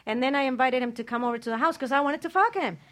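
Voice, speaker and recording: Blunt foul-mouthed woman talking fast, high voice, on a chat show. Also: fairly bright tone. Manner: blunt and profane. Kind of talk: crude casual chat.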